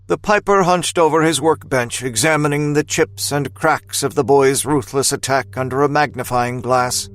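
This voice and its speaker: A man narrating a scripted dramatic story with deliberate, literary phrasing. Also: mid-range voice, fairly bright tone, no echo.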